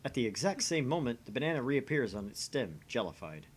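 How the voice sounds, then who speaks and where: A man's voice: mid-range voice, sing-song, fairly quick, slight background noise. A man reading scripted visual novel narration aloud as the host of a gaming channel.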